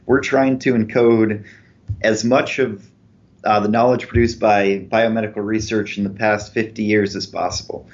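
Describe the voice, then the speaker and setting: A man being interviewed as a researcher, explaining his work clearly and methodically with examples. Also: on the low side, a little mellow. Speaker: researcher guest. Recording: dull lo-fi podcast audio.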